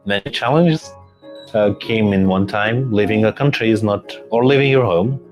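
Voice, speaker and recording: Syrian guy, arabic native, lower voice, kinda hesitant, light music under. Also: normal speed. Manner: hesitant, searching for words. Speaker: language teacher. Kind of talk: interview.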